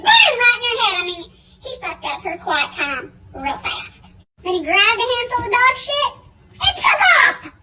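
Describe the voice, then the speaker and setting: A woman's voice: very high voice, sharp tone, a little hiss or hum. A young woman telling a comedic story with a sassy, exasperated, slangy delivery.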